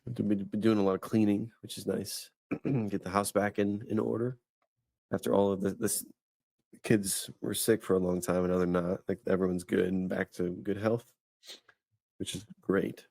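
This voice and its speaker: A man's voice: fairly low pitch, flat delivery, moderate pace, gravelly, a little mellow, no echo. A man in his thirties co-hosting a faith podcast, chatting casually and rambling with many restarts and fillers. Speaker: podcast host. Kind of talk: casual chat.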